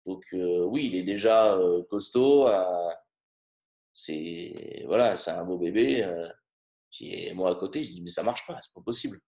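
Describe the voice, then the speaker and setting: A man in his thirties with a fairly low voice, speaking haltingly with frequent fillers. The recording carries a noticeable roomy echo, and his talk stays casual and personal. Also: creaky, dark tone. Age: 30s. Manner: hesitant, many fillers. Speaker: coaching client. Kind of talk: casual interview testimony.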